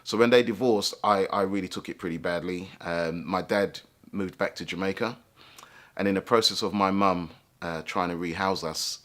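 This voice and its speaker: A man with a deep, low-pitched voice tells his own life story. He speaks reflectively and thoughtfully, often pausing to find the right words. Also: fairly bright tone. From England, London. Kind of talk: personal life story told to camera.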